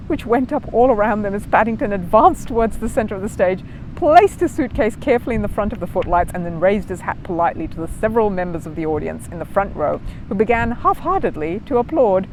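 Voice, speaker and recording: A woman narrates a children's storybook expressively. Her voice sits at a medium pitch and her delivery is animated. There is clear noise behind her. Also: a little fast, poor audio. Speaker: storyteller reading a children's book aloud.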